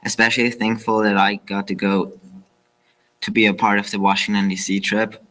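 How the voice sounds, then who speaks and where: A teenage exchange student from Austria, giving a measured, formal prepared thank-you speech. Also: male voice, fairly low pitch, little pitch movement, sharp tone. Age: teens.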